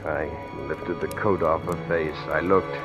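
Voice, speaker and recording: Hesitant, low-voiced frightened everyman. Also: fairly even intonation, measured pace. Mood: tense dread. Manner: hesitant, stammering. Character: frightened ordinary husband mistaken for a killers' client. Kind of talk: 1940s radio acting, first-person suspense narration. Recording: old-time radio recording with music.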